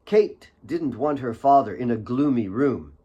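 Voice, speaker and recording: A man reading his own fiction as an audiobook, with solemn, deliberate dramatic narration. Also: medium pitch, animated, warm tone, husky, dull audio.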